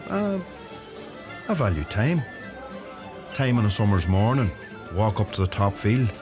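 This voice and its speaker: An actor playing a gentle, thoughtful young farmer with a Donegal Irish accent in a radio play. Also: male voice, lowish voice, very animated, unhurried. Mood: wistful. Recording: music under the voice.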